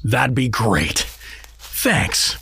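A man's voice: mid-range voice, very animated, drawn-out words, vocal fry, pushed and bright, a little hiss or hum, heavily acted. A man playing a chatty, oblivious middle-aged American dad who makes affable, corny small talk in an audio fantasy comedy. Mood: puzzled, folksy. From rural South Dakota.

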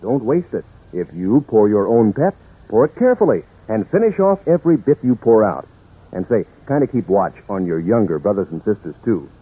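A man delivering a 1940s radio commercial to children as a chummy, emphatic announcer with a husky voice. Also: lowish voice, sing-song, moderate pace. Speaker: radio announcer and cereal pitchman. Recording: tinny, band-limited 1940s radio recording.

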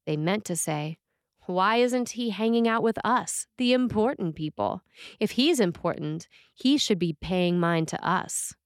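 A woman priest reads a sermon with measured, earnest delivery. Her voice sits at an ordinary pitch. The recording is close and dry, with no room around it. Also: lively intonation, no room sound. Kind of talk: read sermon.

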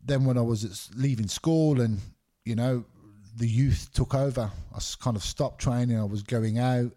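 Middle-aged British man, dark rambling storyteller. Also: mid-range voice, normal speed. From Scotland, raised in England. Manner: candid, rambling storyteller with many fillers. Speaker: MMA referee, guest. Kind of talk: casual podcast interview.

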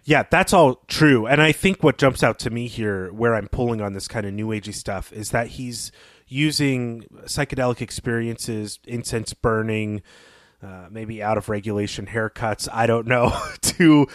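A man's voice: medium pitch, moderate pace, no echo, clean studio sound. A man co-hosting a podcast, talking through literature in a casual, conversational way. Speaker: podcast co-host.

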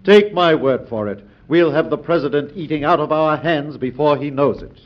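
An actor playing a confident, scheming American senator in a classic radio drama, folksy and self-satisfied. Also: male voice, on the high side, moderate pace, noisy background, lightly acted. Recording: muffled old radio broadcast recording.

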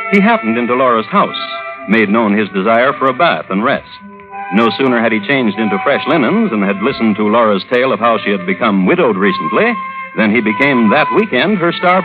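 A male narrator tells a scripted story in wry, florid vintage radio style. His medium-pitched voice moves in a lively way over clear music, on a narrow, phone-like recording.

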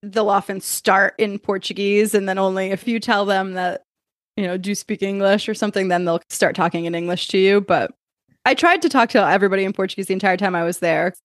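A woman's voice: medium pitch, brisk, dry recording, studio quality. A woman co-hosting a podcast, telling family stories with a lot of laughter. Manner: animated, laughing storyteller. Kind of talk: casual podcast chat.

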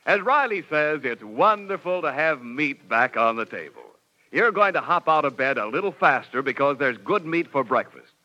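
A man's voice: mid-range voice, sharp tone. A man with an American accent announcing and reading sponsor copy in 1940s radio style. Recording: muffled 1940s radio recording with music.

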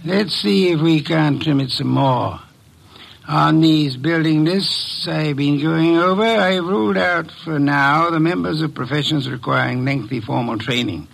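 An actor playing a middle-aged private investigator in a 1950s radio drama, speaking in a precise, measured, commanding way. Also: male voice, on the high side, creaky, fairly bright tone, heavily acted, rough recording. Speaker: detective.

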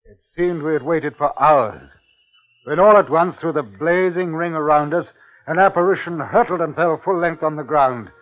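An actor playing an awed, grave official who narrates as a witness in a 1950s radio drama, solemn and dramatic. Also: male voice, a little high, normal speed, close to an everyday voice. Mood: urgent awe. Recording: tinny vintage radio recording with music.

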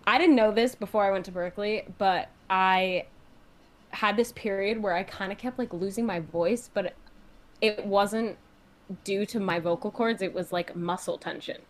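A young woman speaks in a relaxed, everyday conversational voice, talking freely about her own music. She fills her sentences with "like" and "kinda" and lifts her voice when she replays her reactions. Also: a little slow. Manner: chatty, fillers, acts out her own reactions with rising pitch. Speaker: singer-songwriter guest. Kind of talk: casual interview chat.

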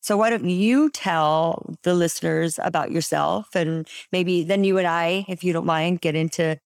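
A woman hosting a podcast in casual chat, bubbly and quick to laugh. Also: fairly low pitch, creaky, clear, resonant tone, dry recording, studio quality. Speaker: podcast host.

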